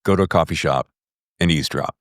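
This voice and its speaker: A man's voice: extremely low pitch, quick pace, dry recording, clean studio sound. A man interviewing a guest as a podcast host, chatting conversationally and acting out quoted remarks as he goes. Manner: animated, acts out quoted remarks.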